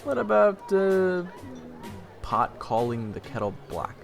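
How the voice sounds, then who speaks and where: Snarky young male adventurer played by a man, animated audio drama storytelling with wry sarcasm, gravelly fry. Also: high voice, slow, heavily acted. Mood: mocking. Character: sarcastic young male traveler narrating his own adventure. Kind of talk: first-person dramatic narration and dialogue. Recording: roomy echo with long reverb, music behind.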